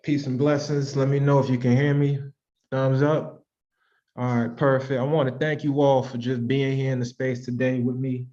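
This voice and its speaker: Slightly muffled online call audio, American man, thirties, mid-pitched voice, conversational presenter, reflective delivery. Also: little pitch movement, moderate pace, dark tone. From Washington, D.C., United States. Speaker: author and presenter hosting a virtual book club. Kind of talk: informal presentation to an online audience.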